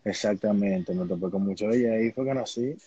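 A young man speaks in casual Dominican Spanish with a husky edge to his voice. He talks like a guest swapping stories in an interview. The sound is dry and a little muffled, as from a phone on a video call. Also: fairly low pitch, fast talker, mellow, no echo. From Dominican Republic. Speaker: interview guest, baseball player. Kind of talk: casual conversational storytelling. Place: indoor room at home. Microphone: phone on a live video call.

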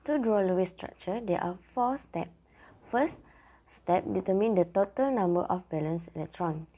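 Young female tutorial narrator, lowish husky voice, careful scripted read. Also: normal speed, dark tone. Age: young adult. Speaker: student presenter.

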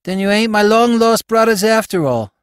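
A man's voice: sits high, animated, normal speed, clear, resonant tone, dry recording, studio quality, pitched above the performer's own voice. A man playing a ragged young street tough with a New York Bowery accent, whimsical, defiant and mock-courteous, in a storybook. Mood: mock regretful.

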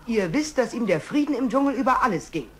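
A man's voice: very high voice, big pitch swings, fast talker. An actor playing a noble, peace-loving young male lion, earnest and resolute, in a children's audio drama. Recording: music bed under the dialogue.

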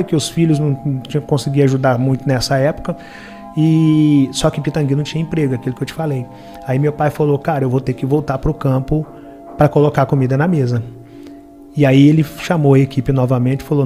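A man's voice: a little high, dark tone. A man in his forties with a Minas Gerais Brazilian Portuguese accent, telling his life story as a podcast guest, earnestly acting out the quoted voices of others. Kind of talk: personal life story told in a podcast interview. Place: studio with brick wall and purple lights. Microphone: studio microphone on a boom arm.

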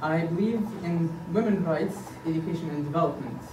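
A man in his thirties, raised in Egypt, presents himself at a podium in an earnest, halting way, filling pauses with "uh". His somewhat high voice is captured from a distance, so the room's echo and a steady hiss come through clearly. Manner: hesitant, many fillers, earnest. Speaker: board candidate. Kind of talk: campaign presentation. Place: meeting room indoors, at a podium. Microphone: far camera. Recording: echoey room from a distance, background hiss.

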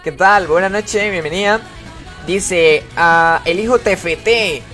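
A fairly high-voiced man running a live gaming stream, talking casually and warmly to his viewers and reading their messages out loud. Faint music plays quietly under his voice. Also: slow. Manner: chatty, greets viewers and reads chat aloud. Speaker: live streamer playing with followers.

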